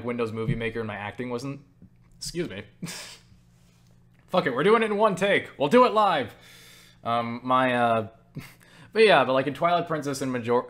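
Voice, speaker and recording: A young man talks off the cuff in a casual question-and-answer style, sounding tired. He rambles and jokes at his own expense, with casual swearing. His voice sits at an ordinary middle pitch. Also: a bit bright. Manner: self-deprecating, joking, swears casually. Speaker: video creator answering viewer questions. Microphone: webcam setup.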